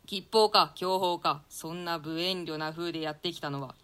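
Casting: woman for scheming tyrant king, resonant lower register, commanding, scornful, theatrical. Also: fairly even intonation, normal speed, pushed and bright, smooth. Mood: urgent, commanding. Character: ruthless scheming usurper king, imperious and scornful. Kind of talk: classical stage play reading.

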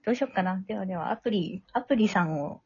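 A woman's voice: medium pitch, rapid-fire, darkish tone, slightly rough, no room sound. A woman with a Kansai accent hosting a casual group chat, with a giggly, slightly hesitant way of talking. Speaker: host of an amateur drama group's after-talk show. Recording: muffled lo-fi audio, dry sound.

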